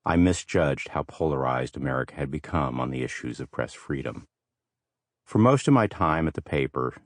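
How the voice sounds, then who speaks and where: A man reading scripted audiobook narration in a measured, articulate way, close to a studio microphone. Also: very deep voice, no room sound.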